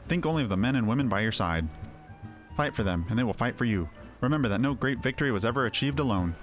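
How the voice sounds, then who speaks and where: A man's voice: medium pitch, expressive, quick pace, soft background music, natural voice. Man voicing a solemn, rousing fantasy soldier. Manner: earnest, formal exhortation. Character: solemn, inspiring fantasy military comrade. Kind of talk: voiced game dialogue.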